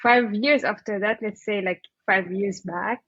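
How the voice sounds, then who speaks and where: A woman's voice: mid-range voice, fairly bright tone. Interview guest: woman with an Egyptian accent, conversational and searching for words, recorded muffled and a bit roomy. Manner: halting, repeats "like" as she thinks aloud.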